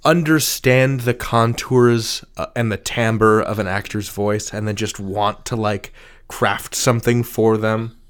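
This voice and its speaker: Male interviewer, lowish smooth voice, unhurried and informal. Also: steady tone. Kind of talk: casual interview chat.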